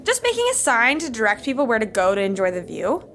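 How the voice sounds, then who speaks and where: A woman's voice: on the high side, huge pitch swings, quick pace, a bit bright, a little hiss or hum, exaggerated voice. A woman playing a frazzled, overworked wedding organizer in a sitcom podcast, flustered and chatty. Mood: nervous, evasive.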